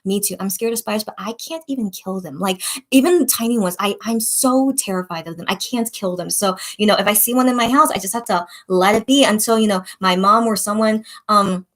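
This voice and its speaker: A young woman from the United States chatting casually while reading viewer comments, rambling with many fillers and restarts and often trailing off softly. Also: mid-range voice, brisk, few pauses. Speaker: online shop owner reading viewer comments. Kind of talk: casual livestream-style chat.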